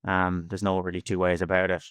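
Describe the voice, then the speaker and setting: A man's voice: low voice, monotone, brisk, fairly bright tone, clear, resonant tone, no room sound, studio quality. An Irish man giving casual interview answers in a plain-spoken, slangy, blunt way. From Ireland. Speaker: podcast guest, freelance cold caller.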